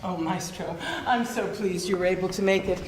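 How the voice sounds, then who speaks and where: A woman's voice: on the low side, normal speed, lightly acted. A woman acting a gracious aristocratic countess in a theatrical period stage comedy. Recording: echoey reverberant theatre hall recording.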